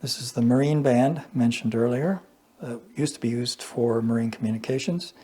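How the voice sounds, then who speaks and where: An older man giving a casual, unhurried product walkthrough, often pausing mid-sentence as he explains. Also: mid-range voice, a little mellow. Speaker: vintage radio reviewer.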